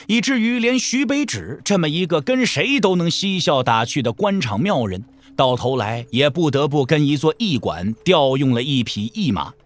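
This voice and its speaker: Animated male storyteller with wide-ranging pitch. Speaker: audiobook drama narrator. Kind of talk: scripted dramatic storytelling narration.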